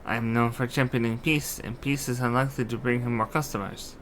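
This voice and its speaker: A man's voice: medium pitch, steady tone, a little fast, a bit bright, slight background noise, close to an everyday voice. A man voicing an earnest, impulsive young royal heir in a fantasy video game, speaking emphatically. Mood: candid. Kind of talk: amateur character voicing of game dialogue.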